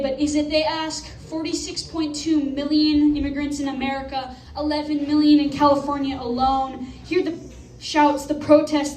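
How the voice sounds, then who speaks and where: A woman reciting slam poetry live at a venue, with emphatic, rhetorical, measured phrasing. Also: high-pitched, a little mellow. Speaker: slam poet. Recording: distant roomy live venue recording, noisy.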